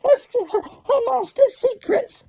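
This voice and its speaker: A woman voicing a drunk, weepy female house elf who squeaks and slurs through tears. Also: lively intonation, slow, vocal fry, dark tone, full character voice. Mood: tipsy tearful. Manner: squeaky, slurred, hiccuping and sobbing.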